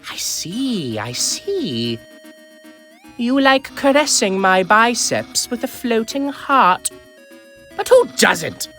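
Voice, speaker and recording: A man voicing a vain, naive cartoon skeleton from a video game, with a grandiose, theatrical delivery that jumps between hushed asides and loud exclamations. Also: very high-pitched, sing-song, slow pace, exaggerated voice. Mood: smug, coy. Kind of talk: amateur video game character dub. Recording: voice over background music.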